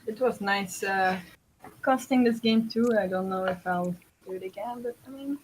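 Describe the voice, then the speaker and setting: A woman's voice: mid-range voice, normal speed, slightly rough, rough recording. A woman co-casting an esports match in casual live commentary, with blunt, teasing banter.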